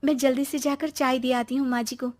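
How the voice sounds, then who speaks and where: A woman voicing a sweet, dutiful young daughter-in-law with a pleading, eager manner in an animated story. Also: high voice, brisk, pitched above the performer's own voice, lightly acted. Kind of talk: animated Hindi moral story acting.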